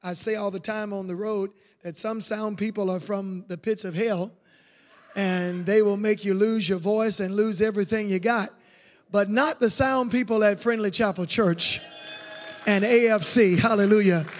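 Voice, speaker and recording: Raspy high-voiced revival preacher. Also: male voice, fairly even intonation, moderate pace. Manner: exuberant, peppered with hallelujahs. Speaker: guest evangelist. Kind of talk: revival sermon. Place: church sanctuary. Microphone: handheld mic. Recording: echoey church hall.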